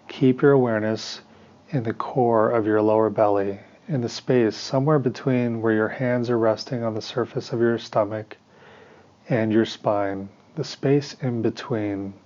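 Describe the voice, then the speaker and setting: A man with a fairly low voice teaches a guided meditation. He gives instructions in short, measured phrases, leaving pauses between them. The sound is somewhat muffled and lo-fi. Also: dark tone.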